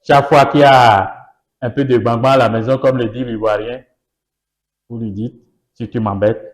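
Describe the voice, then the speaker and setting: A man's voice: medium pitch, steady tone, moderate pace. Yoruba-speaking guy on a politics interview, pushy, repeats himself, keeps asking "you see?". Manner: emphatic, repeats phrases, rhetorical questions. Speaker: political party guest.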